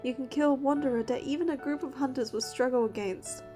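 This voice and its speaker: An earnest young heroine of a story game, acted by a woman, with a fairly high, softly mellow voice. She speaks and narrates as a determined hunter, with music underneath. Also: fast talker, close to an everyday voice. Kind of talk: scripted game dialogue and first-person narration.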